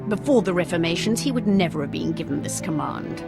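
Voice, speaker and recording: A stern imperial commander in a video game. She sounds formal, haughty and commanding, with music playing clearly behind her. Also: lowish voice, expressive, fairly quick. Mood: bitter, disdainful. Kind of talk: scripted video game acting.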